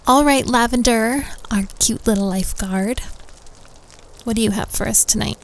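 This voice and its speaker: A playful young female friend acted by a woman leads a campfire story circle in an audio roleplay. The voice is fairly high with plenty of fry, casual and teasing. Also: moderate pace, close to an everyday voice, rough recording. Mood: delighted, teasing.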